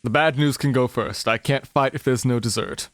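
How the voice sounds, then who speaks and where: A man's voice: medium pitch, normal speed, dry recording, lightly acted. Man voicing a cocky businessman. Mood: breezy. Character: smooth, cocky middle-aged businessman. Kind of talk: fan livedub of a visual novel.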